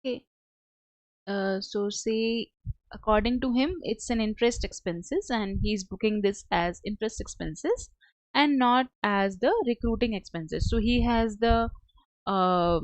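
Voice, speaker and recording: A woman narrating a step-by-step software tutorial as a trainer, with a halting, hesitant delivery. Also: mid-range voice, no room sound, rough recording.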